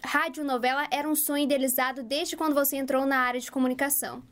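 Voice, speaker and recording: A woman's voice: sits high, fairly even intonation, fast talker, a bit bright. A young Brazilian woman, a student, introducing herself and asking a formally worded, prepared question.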